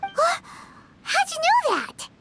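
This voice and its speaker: A woman voicing a tiny, cheeky, chatty female companion in a video game, with a squeaky childlike voice. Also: wildly swooping pitch, slow pace, background noise, heavily acted. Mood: startled.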